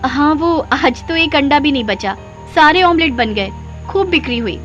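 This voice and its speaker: A woman's voice: sits high, animated, moderate pace, a bit bright, lo-fi sound, faint music behind, voice pushed up. A woman playing a humble, earnest working mother in a cartoon story. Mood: practical. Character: humble, hardworking mother running a food cart. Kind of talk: Hindi moral-story cartoon voice acting.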